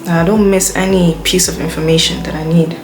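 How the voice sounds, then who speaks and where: A woman's voice: lowish voice, fairly even intonation, brisk. Rambling young woman vlogging. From Belgium. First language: Dutch. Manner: chatty, rambling, heavy use of "like". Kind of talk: casual rambling vlog rant. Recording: music playing under the voice.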